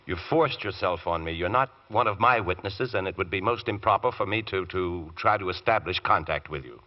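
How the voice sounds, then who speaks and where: An actor playing an earnest, harried lawyer and husband who angers easily, in 1940s radio drama style. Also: male voice, deep voice, brisk, lightly acted. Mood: stern, curt. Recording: dull, muffled old radio recording.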